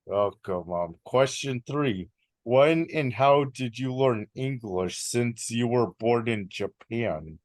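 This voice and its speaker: A man's voice: mid-range voice, animated, slow pace, darkish tone, no echo. A young man interviewing a guest, reading numbered questions with many hesitant ums. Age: young adult.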